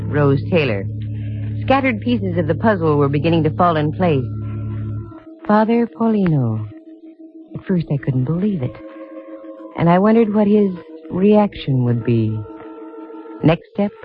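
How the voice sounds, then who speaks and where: An actress playing a wry, confident lady private investigator in a 1950s radio detective drama. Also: deep voice. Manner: wry, slangy, cool. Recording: muffled old radio, music behind.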